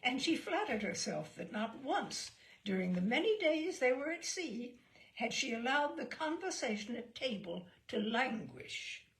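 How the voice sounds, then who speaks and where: Lively high-pitched woman reading a story. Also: measured pace, low-quality recording. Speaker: audiobook reader. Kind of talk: expressive literary short story narration.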